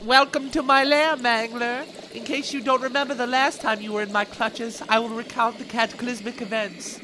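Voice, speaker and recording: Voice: medium pitch, fairly even intonation, moderate pace, background noise, lightly acted. A performer voicing a fiendish, lewd old villainous doctor in a theatrical, gloating, melodramatic way for a campy audio comedy.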